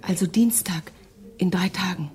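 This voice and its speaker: An actress playing a devoted, hesitant woman in love in a radio crime drama, soft and often whispering. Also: on the low side, normal speed, lightly acted. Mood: nervous.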